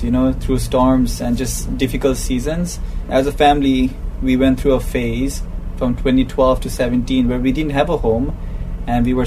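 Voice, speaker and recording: Earnest man from Bangladesh, noisy location. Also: mid-range voice. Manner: earnest, thoughtful, restarts phrases. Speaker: interview guest, ship's purser. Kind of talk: personal testimony in conversation. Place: aboard a docked ship. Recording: clear background noise on location.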